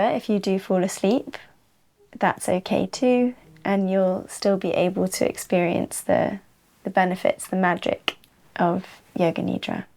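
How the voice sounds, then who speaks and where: A woman teaches yoga nidra as a guided rest. Her voice sits at a middle pitch and moves very slowly. She soothes as she goes, leaving long, unhurried pauses between short phrases. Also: creaky, darkish tone. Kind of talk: slow guided relaxation narration.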